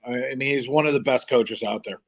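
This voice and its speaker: A man's voice: medium pitch, fairly quick. A man hosts a live hockey stream and calls the game. He talks in an informal, chatty way, a bit scattered as he juggles tasks while welcoming viewers. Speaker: live stream host calling hockey play by play. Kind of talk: casual live sports stream chat, greeting viewers.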